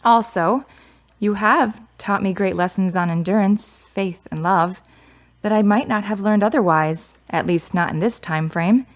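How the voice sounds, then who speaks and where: A woman's voice: mid-range voice, a little slow, natural voice. A woman playing a candid, reflective, grieving daughter of about thirty in a radio drama. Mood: earnest, reflective.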